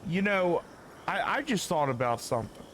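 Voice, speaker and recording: A man doing casual live stream commentary on a game, rambling and halting as he repeats his words. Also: on the high side, very animated, a bit bright, a little hiss or hum, poor audio. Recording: game music behind the voice.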